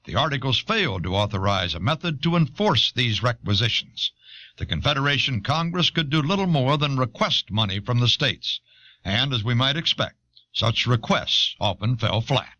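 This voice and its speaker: A man reading scripted documentary narration in a measured, explanatory manner, on an old cassette recording. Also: mid-range voice, bright, forceful tone, no room sound, dull audio. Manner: measured, expository.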